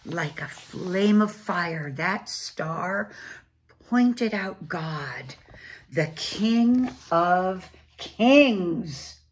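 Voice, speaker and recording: A woman reading a storybook aloud to children as a church storyteller, with deliberate, dramatic phrasing and pauses. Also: on the low side, expressive, a little hiss or hum.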